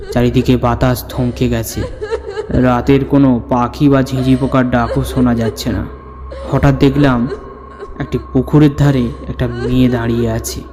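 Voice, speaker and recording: A man playing an older storyteller who recounts his own ghostly encounter in a suspenseful, measured narration. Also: mid-range voice, dark tone, lightly acted. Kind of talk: dramatic scripted horror story narration. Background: background music.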